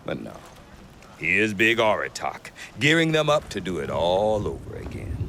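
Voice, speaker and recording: An actor playing a gruff middle-aged trader who talks with dry humor. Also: male voice, fairly low pitch, normal speed, background noise. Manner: wry, dry humor, lively delivery. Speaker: merchant trader. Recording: game cutscene with music behind.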